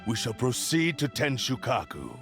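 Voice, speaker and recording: A man's voice: medium pitch, huge pitch swings, normal speed, creaky, over music. A man playing a proud, menacing spirit of a cursed blade in a video game, with an imperious, formal manner. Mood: haughty challenge.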